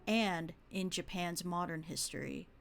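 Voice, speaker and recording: A woman reading scripted true-crime narration for a video essay, with measured storytelling delivery. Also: lowish voice, creaky, faint music behind.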